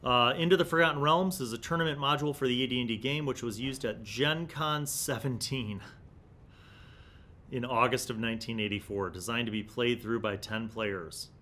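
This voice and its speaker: Male review host, 40s, mid-pitched, emphatic and enthusiastic, reads aloud and comments casually, faint rumble underneath. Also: moderate pace, sharp tone. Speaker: host of a tabletop gaming magazine review series. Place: small indoor studio room. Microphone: desk microphone close in front.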